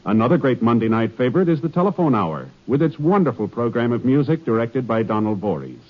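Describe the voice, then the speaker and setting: An American man working as a 1950s radio network announcer, reading scripted copy in a polished, formal, measured promotional delivery. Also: mid-range voice, very animated. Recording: dull 1950s radio recording, faint music.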